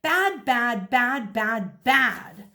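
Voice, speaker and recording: A woman voicing a bossy, tattling schoolgirl in a storybook, loud, punchy and taunting. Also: fairly high pitch, sing-song, extremely slow, full character voice. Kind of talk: children's storybook read-aloud.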